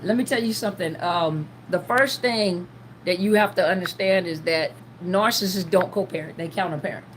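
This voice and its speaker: A woman's voice: mid-range voice, slight background noise, rough recording. Woman giving a rambling livestream talk. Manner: conversational, many restarts and fillers, acts out inner voices. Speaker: therapist hosting a livestream.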